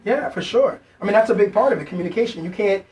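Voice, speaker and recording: A man's voice: high voice, animated, fast talker, darkish tone. A man hosting a podcast, upbeat and slangy, often repeating his words as he asks questions. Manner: hyped, repeats words, slangy praise like "that's fire". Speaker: podcast host. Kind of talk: casual podcast interview. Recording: slightly distant mic, faint noise.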